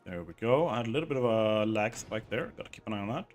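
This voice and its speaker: A man's voice: lowish voice, animated, fast. Chatty man over faint music. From southern Sweden. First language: Swedish. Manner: rambling and chatty, reads chat aloud, lots of "like". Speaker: game streamer. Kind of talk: casual live-stream chat while building.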